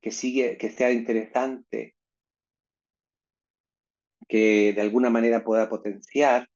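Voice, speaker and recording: A man's voice: medium pitch, level tone, takes it slowly, a little mellow, lo-fi sound. A man giving an online lecture to teachers, constantly adding tag questions. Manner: peppers talk with tag questions.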